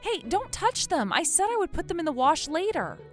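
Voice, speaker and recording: A woman playing a feisty, stubborn university girl in a manga rom-com dub, snappy and quick to bicker. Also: extremely high pitch, sing-song, soft background music. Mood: irritated.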